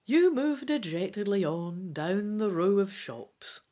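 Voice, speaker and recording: A woman's voice: medium pitch, big pitch swings, slow pace, mellow. A woman reading a fantasy gamebook aloud as a dramatic narrator. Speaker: gamebook reader.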